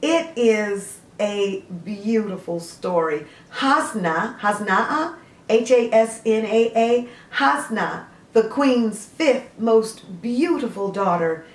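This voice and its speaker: A woman's voice: fairly high pitch, expressive, slow. A woman hosting a book series, gushing about stories and reading excerpts aloud with frequent fillers. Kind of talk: casual book review with read-aloud excerpts. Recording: roomy echo.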